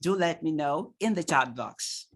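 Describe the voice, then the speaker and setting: A male tutor with a Nigerian accent leads an online session for parents over a computer mic. He talks in an animated way, stacks rhetorical questions and laughs easily while reading the chat. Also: a little high, a little slow. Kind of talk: online training lecture to parents.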